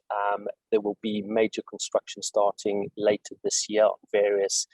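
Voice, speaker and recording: A middle-aged man from South Africa with a South African accent, answering interview questions in a measured way, with frequent fillers and restarts. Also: on the low side, a little mellow, no room sound, rough recording. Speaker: guest executive.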